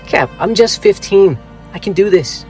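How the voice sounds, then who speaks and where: Eager teenage sidekick, brash old-timey slang, high pitched and bouncy, radio play style w music behind. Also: male voice, animated, unhurried, darkish tone. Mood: pleading. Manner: brash, slangy 1940s pep talk. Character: cocky, eager teenage boy sidekick soldier. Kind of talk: cinematic audio drama acting.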